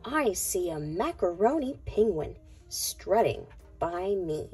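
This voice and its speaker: Woman, children's read-aloud, very slow sing-song pacing, soft mellow tone, faint music behind. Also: fairly low pitch, sing-song, husky. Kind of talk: picture book read-aloud for young children.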